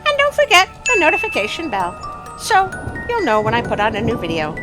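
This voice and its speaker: A woman storyteller and channel host with an expressive, dramatic delivery, reading scripted stories for children. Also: on the high side, brisk, over music, rough recording.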